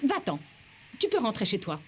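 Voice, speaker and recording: An actress playing a prim, haughty middle-aged headmistress in a French cartoon dub, with a formal, starchy manner and a husky voice. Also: female voice, on the high side, huge pitch swings, moderate pace, slight background noise. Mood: indignant. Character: prim, haughty woman head of a girls' school.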